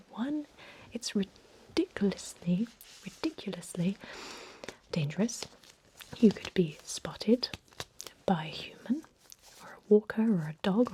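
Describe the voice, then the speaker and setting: A woman playing a thousand-year-old vampire medic in an ASMR role-play, whispering breathily in a soothing, unhurried manner with murmured hums. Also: mid-range voice, dark tone, a little hiss or hum, low-quality recording. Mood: gently scolding.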